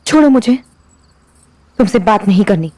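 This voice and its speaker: An actress playing a proud, distressed young woman in an audio drama, sharp and defiant in her replies. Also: medium pitch, animated, moderate pace, no echo, lightly acted.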